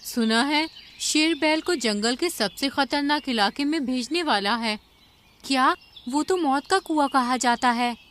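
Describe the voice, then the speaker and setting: Lady doing a little jungle bird in a kids cartoon, high voice, concerned onlooker. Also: a little slow, fairly bright tone, a little hiss or hum. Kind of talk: cartoon fable dialogue.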